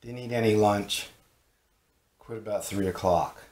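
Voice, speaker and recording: A man's voice: on the low side, fairly even intonation, unhurried, a little mellow, echoey room. Middle-aged man narrating in his workshop. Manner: plain, matter-of-fact, talking to himself as he works. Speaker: woodworker narrating his own project. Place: small cluttered home workshop, indoors. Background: talk radio playing in the background.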